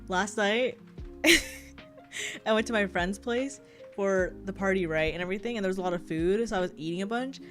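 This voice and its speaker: A woman's voice: medium pitch, normal speed, faint music behind. A young woman streaming as a VTuber, chatting casually with viewers; she sounds congested and a little tired, rambling and giggling often. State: tired, low energy. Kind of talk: casual livestream chat.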